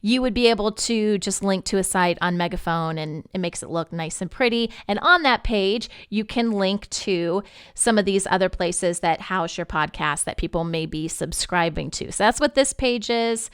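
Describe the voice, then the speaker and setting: A woman giving an informal software tutorial in a conversational, easygoing, explanatory way. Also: mid-range voice, normal speed, clear, resonant tone, dry recording, studio quality. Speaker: podcast network representative giving a software tutorial. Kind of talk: informal screen-share tutorial walkthrough.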